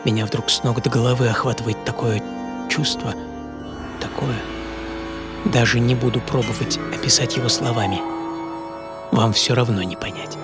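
A man acting as a dreamy, earnest narrator of his own hard boyhood in a radio play. His mid-pitched voice is measured and theatrical, with prominent music underneath. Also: fairly even intonation, lightly acted. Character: earnest, dreamy male storyteller recalling his poor, hardworking boyhood. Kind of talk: dramatic first-person radio monologue.

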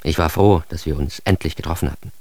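A man's voice: rumbling low, quick pace, no echo. An actor playing a self-aggrandizing, wry man telling his own absurd story in a radio drama monologue, ironic and theatrical. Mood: dry, ironic. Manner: ironic, theatrical, shifting into hushed asides.

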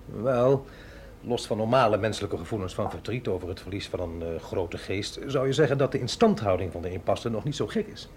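Low-voiced, wry male agent actor playing. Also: animated, brisk, darkish tone, slight background noise, lightly acted. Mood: measured, doubtful. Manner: wry, questioning, understated. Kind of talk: radio drama acting.

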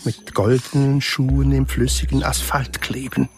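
A man narrating a radio drama in a grave, measured, solemn way. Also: mid-range voice, flat delivery, gravelly. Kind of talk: slow, solemn literary narration.

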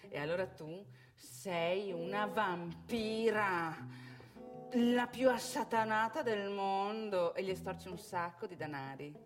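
An actress playing a brash, worldly prostitute who instructs a newcomer in a frank, knowing stage monologue. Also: female voice, medium pitch, slow pace, faint music behind, natural voice. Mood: amused, knowing. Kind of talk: theatrical monologue.

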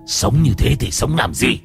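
A man voicing the bitter ghost of a slaughtered dog, speaking in a low, muttering growl through a possessed woman. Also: creaky, exaggerated voice. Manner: low muttering growl, half-human words.

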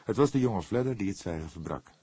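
A male narrator reads a scripted detective story. His voice is deep and husky. The recording is very dry, with hardly any room sound. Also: animated, fast talker, mellow, dry recording. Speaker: audiobook narrator.

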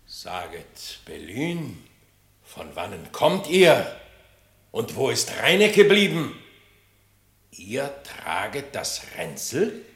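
An actor playing a stern, aging lion king in a German radio play, speaking slowly and solemnly. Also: mid-range voice, very animated. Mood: questioning. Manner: slow, solemn, brooding. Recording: echoey studio room.

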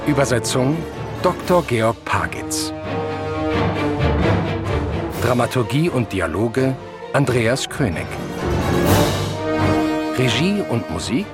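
A man's voice: medium pitch, very animated, slow, long pauses, loud music behind the voice. A man announcing radio drama titles in a scripted style.

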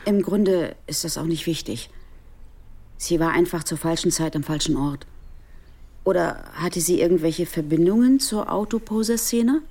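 An actress playing a guarded, matter-of-fact police detective of about forty in a naturalistic radio crime drama. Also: female voice, on the low side, dark tone. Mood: sober, considerate. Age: around 40. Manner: matter-of-fact, brisk, cutting when provoked. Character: female police detective around 40, guarded, sharp-tongued.

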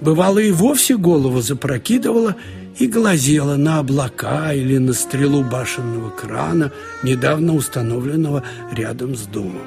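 A man narrating a literary short story in an expressive, storytelling way with wry colloquial asides. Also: mid-range voice, normal speed, creaky. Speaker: narrator reading short fiction. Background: music.

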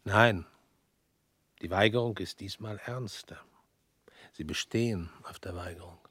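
An actor in a radio drama playing a stern male revolutionary who speaks in measured, pointed lines and often drops to a hushed whisper. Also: sits low, slightly rough, dry recording, studio quality, heavily acted. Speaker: revolutionary visitor.

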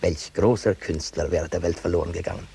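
Menacing major, very low gravelly voice, officious, pompous, 1950s radio drama. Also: male voice, fairly even intonation, brisk, dark tone, full character voice. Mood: pompous, flattering. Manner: pompous, officious, barking orders. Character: pompous, menacing army major of a warring fantasy state. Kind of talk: 1950s German radio play acting. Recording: hissy vintage 1950s radio recording.

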